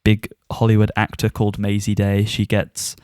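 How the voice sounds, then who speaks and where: A man's voice: on the low side, monotone, moderate pace, a little mellow, clean tone, no echo, clean studio sound. A man co-hosting a podcast, giving a casual review and often chuckling as he talks. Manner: chuckles often while talking.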